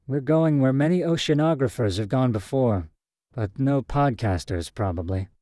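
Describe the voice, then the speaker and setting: Man voicing a playful woman, softly mellow, pitched up. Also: lively intonation, normal speed, no room sound, clean studio sound, lightly acted. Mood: teasing. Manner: playful, teasing. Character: witty, teasing woman scientist, old flame of narrator. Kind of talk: acted short story dialogue.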